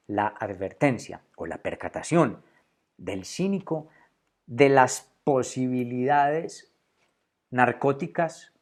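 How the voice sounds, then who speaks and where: A man's voice: mid-range voice, a little mellow. A man, maybe, giving a solo philosophy lecture to camera, thinking aloud, restarting phrases and repeating words as he searches for the right term. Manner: thoughtful, with frequent restarts and repeated words while searching for terms.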